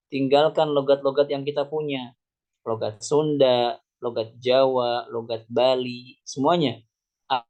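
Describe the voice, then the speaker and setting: A man teaching Quran recitation. He reads a classical text aloud, then explains it line by line and asks rhetorical questions as he goes. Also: medium pitch. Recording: dull lo-fi sound.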